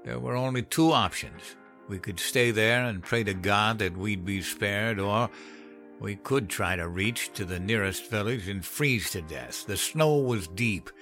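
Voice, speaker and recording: A man voicing a gruff, cynical old country man with a folksy drawl, telling of hard times in a horror story. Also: lowish voice, a little slow, pushed and bright, faint music behind. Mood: bitter. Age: older. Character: cynical old country man recounting grim Depression-era hardship. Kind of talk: dramatized horror story narration.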